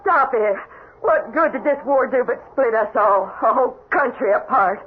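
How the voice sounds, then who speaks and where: A woman's voice: on the high side, lively intonation, normal speed, narrow, tinny audio, natural voice. An actress playing a careworn, widowed Texas farm mother in a radio drama, emotional and pleading. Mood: hurt, firm. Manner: emotional, pleading, folksy rural speech. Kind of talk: old-time radio drama acting. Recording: thin, band-limited vintage radio recording, hissy.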